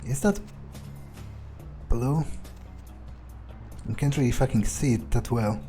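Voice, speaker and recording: A man's voice: medium pitch, takes it slowly, mellow, soft background music, close to an everyday voice. A man playing a cynical, callous young vampire in an in-character game playthrough, musing aloud in a hesitant, dismissive way. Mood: pensive, puzzled. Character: callous, cynical young male vampire, foul-mouthed loner.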